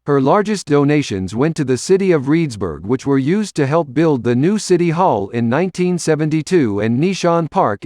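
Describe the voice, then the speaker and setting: A man's voice: medium pitch, a little mellow, no room sound. Dry close-recorded man narrating history. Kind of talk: scripted narration.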